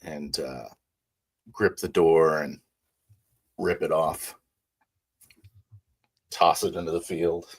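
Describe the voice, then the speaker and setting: Man, extremely deep voice, husky, low-key halting roleplay narration. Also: steady tone. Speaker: tabletop roleplay player. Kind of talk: improvised first-person roleplay narration.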